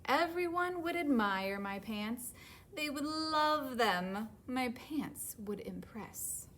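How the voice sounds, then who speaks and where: A woman's voice: sits high, animated, takes it slowly, fairly bright tone, a little hiss or hum, exaggerated voice. A woman voicing a stubborn, wishful panda in a children's picture book, with insistent, childlike pleading. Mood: hopeful, wishful.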